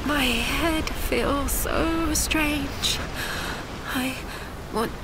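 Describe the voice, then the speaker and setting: An actress playing an injured, frightened woman sailor, narrating her ordeal in the present tense in halting, strained fragments. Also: high-pitched, heavily acted. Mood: tense, struggling. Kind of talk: first-person present-tense dramatic narration. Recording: noisy storm and sea ambience.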